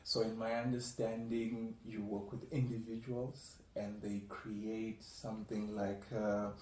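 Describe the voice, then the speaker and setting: A man's voice: on the low side, level tone, a little slow, darkish tone. A man hosting a podcast, interviewing guests in a conversational style. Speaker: podcast host and journalist. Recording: echoey room, distant mic, faint noise.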